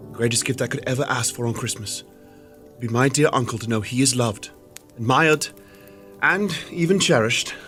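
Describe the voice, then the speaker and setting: A man playing a cheerful, kind-hearted young gentleman with a merry, earnest delivery in a radio drama. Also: mid-range voice, moderate pace, soft background music, lightly acted. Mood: earnest, fond.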